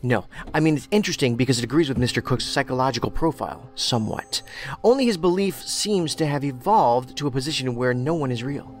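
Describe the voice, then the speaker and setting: An actor playing an analytical investigator in an audio drama, dry, precise and inquisitive. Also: male voice, mid-range voice, expressive, fairly quick, faint music behind, close to an everyday voice. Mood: dry, probing. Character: male investigator, analytical, dry, probing skeptic.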